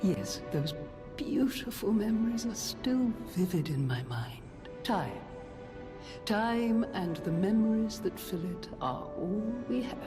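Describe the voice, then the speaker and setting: A woman voicing an elderly, genteel, nostalgic lady in a video game, breathy and reflective. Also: medium pitch, lively intonation, slow, music in the background. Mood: wistful. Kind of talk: scripted game drama.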